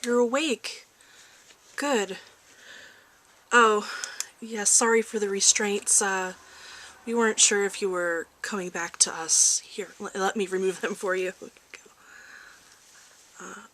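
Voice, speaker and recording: A woman doing a soft-spoken ASMR roleplay as a calm research doctor, gentle, reassuring and a little hesitant, in a home recording. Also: medium pitch. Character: calm corporate research doctor giving a checkup. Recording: faint background noise, home ASMR recording.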